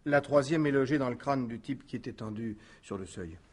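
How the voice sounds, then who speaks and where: A man's voice: medium pitch, a little fast, natural voice. A man playing a deferential police subordinate who reports factually in a radio crime drama. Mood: businesslike. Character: dutiful, matter-of-fact male police subordinate reporting. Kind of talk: 1950s-style French radio crime drama acting.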